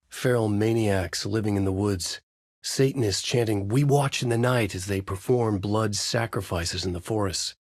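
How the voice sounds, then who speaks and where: Husky guy doing moody haunted writer narration for a noir video game, really dry close sound. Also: lowish voice, darkish tone, no echo. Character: brooding, haunted male thriller writer. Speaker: voice actor playing a writer protagonist who narrates.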